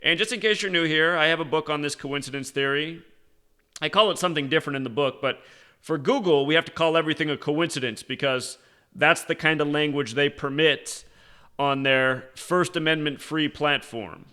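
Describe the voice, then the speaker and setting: A man's voice: fairly high pitch, moderate pace, sharp tone, clean tone. An American man delivering an unscripted commentary as an online show host, in a sardonic, pointed manner. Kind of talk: unscripted conspiracy monologue and commentary.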